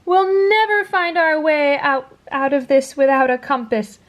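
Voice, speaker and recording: Woman voicing a high, wailing, panicky earthworm. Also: fairly even intonation, measured pace, muffled recording. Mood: despairing. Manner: wailing, fretful. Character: panicky, despairing storybook earthworm.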